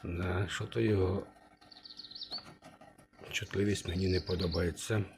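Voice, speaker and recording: A man presenting a casual hands-on demonstration of a vintage radio he restores, speaking haltingly and muttering to himself as he works. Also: sits low, fairly even intonation, noisy background.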